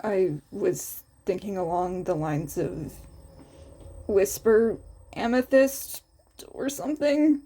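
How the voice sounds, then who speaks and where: A woman voicing a cool, laid-back teenage boy student in a story reading, casual and low-key. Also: takes it slowly, dark tone. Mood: musing.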